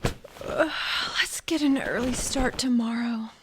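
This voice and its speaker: A woman's voice: fairly high pitch, slow pace, a little hiss or hum, rough recording. A woman playing a frightened, jittery wife in a radio horror drama, anxious and full of doubt. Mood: hushed worry.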